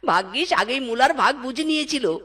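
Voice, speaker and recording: A performer voicing a gullible, excitable fox husband in a comic cartoon, exclaiming naively with a whiny edge. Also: high voice, a little fast, heavily acted. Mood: boastful.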